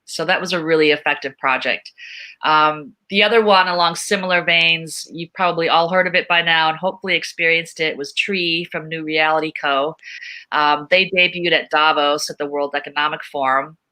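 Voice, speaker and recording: Panel speaker, very bright forceful voice, medium pitch, explanatory talk. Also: fairly even intonation, moderate pace. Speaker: panel speaker from a tech company funding VR projects.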